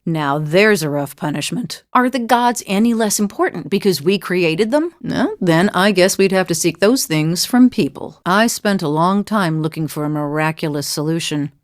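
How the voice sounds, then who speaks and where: A woman's voice: fairly low pitch, lively intonation, normal speed, few pauses, no room sound, clean studio sound, natural voice. A woman playing a weathered, good-humored mercenary in a video game, speaking in a wry, plainspoken, reflective way. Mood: reflective, regretful. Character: weathered, world-weary but good-humored female mercenary seeking forgiveness. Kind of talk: scripted video game character dialogue. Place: dry studio voice recording.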